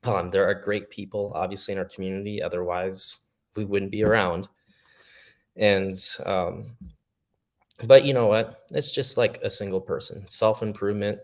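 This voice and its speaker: Earnest, low-voiced male podcast guest. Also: steady tone, normal speed. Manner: earnest, reflective, optimistic. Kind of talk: casual podcast chat.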